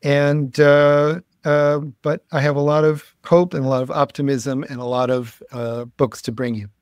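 A man in his fifties from the United States, introducing himself as a guest on a video call, listing his life in a loose way with many pauses. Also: a little high, level tone, clear, resonant tone, no echo, highs cut off. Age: 50s. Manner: rambling list of life events with many uhs. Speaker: guest, think tank founder. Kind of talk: casual spoken self-introduction. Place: home room.

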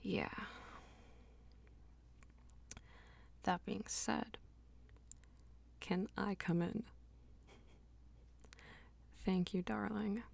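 A woman playing a dominant, teasing female vampire lover in an intimate ASMR roleplay, coaxing and breathy, often dropping toward a whisper. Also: mid-range voice, mellow, full character voice. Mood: playful, casual. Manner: slow, coaxing, teasing pet names.

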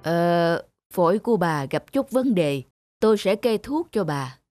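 Sober, principled old village physician. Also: male voice, sits high, sing-song, unhurried, a little mellow, soft background music. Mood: sober, caring. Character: weary, principled older male village physician. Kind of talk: animated folk-tale dubbing.

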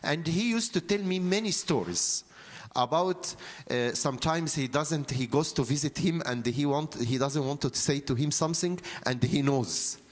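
A man in his fifties with an Egyptian accent, a native Arabic speaker, preaching as a priest in halting phrases with frequent pauses. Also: a little high. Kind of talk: sermon, storytelling from notes. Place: church interior, seated at a lectern. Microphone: handheld microphone held close.

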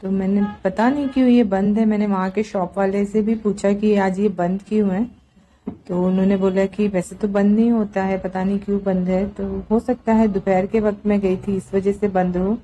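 A woman's voice: mid-range voice, flat delivery, moderate pace, warm tone, slight background noise. A woman narrating a vlog in a casual, chatty, conversational way. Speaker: market vlogger.

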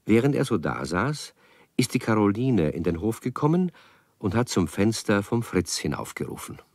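A man's voice: fairly low pitch, sing-song, moderate pace, darkish tone, no echo, clean studio sound. A man narrating a children's radio play as its storyteller, in scripted storytelling style. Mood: animated, relaxed.

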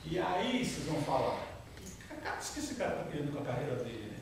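A middle-aged man in his fifties giving an animated, interactive lecture and questioning his audience, recorded from a distant camera in a large brick-walled lounge. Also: fairly high pitch, fast, gravelly. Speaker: guest speaker. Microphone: far camera, no mic. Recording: echoey room from far off, noisy.

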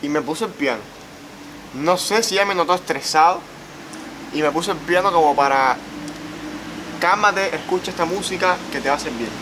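Young Cuban male, deadpan and casual, slightly high voice, clear street noise behind. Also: a little fast, long pauses. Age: young adult. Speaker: prank caller, co-host. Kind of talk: casual chat.

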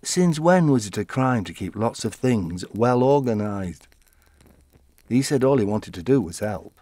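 An old widower confiding his story in a radio-play monologue. His voice sits at a medium pitch, gentle and wistful, with a fussy defensive streak. He pauses and restarts as he reflects, recorded close with little room sound. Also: no echo. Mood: exasperated. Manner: reflective, halting storytelling with animated quoting.

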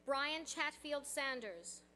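Bright high-voiced woman announcing names. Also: expressive. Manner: measured, announcing each name evenly. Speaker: commencement name reader. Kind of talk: formal reading of graduate names. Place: outdoor stage at a college ceremony.

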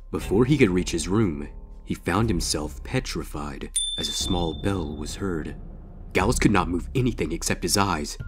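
A man narrating a scripted story in a dramatic reading style. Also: deep voice.

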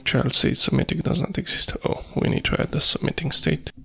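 Creaky-voiced man narrating a coding tutorial. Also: fairly high pitch, a little fast, bright, forceful tone. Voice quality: creaky, gravelly. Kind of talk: screencast tutorial narration, thinking aloud while coding. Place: indoors at a computer, screen recording.

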